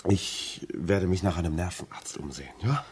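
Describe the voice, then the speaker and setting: An actor playing a rational, skeptical gentleman visitor in a 1950s radio drama, questioning and insistently reassuring in an emphatic manner. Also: sits low, normal speed, a little hiss or hum. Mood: insistent reassurance.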